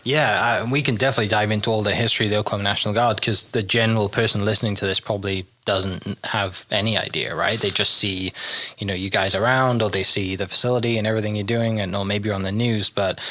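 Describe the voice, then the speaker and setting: A man's voice: lowish voice, steady tone, fast, pushed and bright. A man hosting an interview podcast, chatting casually in a laid-back, friendly, informal way.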